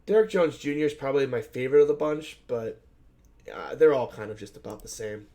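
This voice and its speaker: A man with a hoarse, scratchy voice hosting a solo fantasy basketball preview, talking things through with lots of fillers and self-corrections. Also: mid-range voice, lively intonation, a little fast, warm tone. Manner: conversational, filler-heavy, thinks aloud and self-corrects. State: hoarse, scratchy voice from shouting at a game. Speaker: fantasy basketball analyst host. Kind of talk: solo sports betting slate breakdown.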